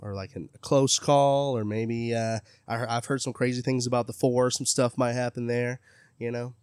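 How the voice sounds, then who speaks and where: A man's voice: medium pitch, flat delivery, moderate pace, a little mellow, clear, resonant tone, dry recording. A man hosting a casual interview, earnest and friendly, talking openly about his life. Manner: earnest, friendly, self-promoting. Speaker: interviewer, gaming content creator.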